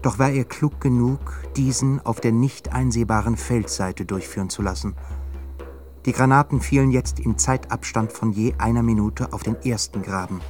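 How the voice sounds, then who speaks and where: A man narrating a radio drama in a measured, grave way. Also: medium pitch, a little mellow. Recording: music bed under voice. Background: music.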